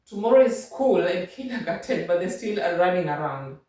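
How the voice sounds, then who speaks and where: Kenyan woman, casual home vlogger, patient and repetitive; boomy echoing room, off-mic. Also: lively intonation, a little fast, mellow, distant mic. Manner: repetitive, restarts and rephrases, patient explaining. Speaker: vlogger giving language-learning tips. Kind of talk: casual advice talk.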